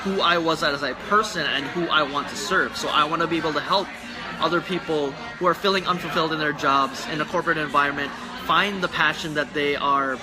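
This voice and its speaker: A man's voice: on the high side, fairly quick, poor audio. A young man with a Filipino accent, a guest in a casual livestream chat, talking earnestly and rambling with many restarts. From Philippines. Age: young adult. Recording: background music.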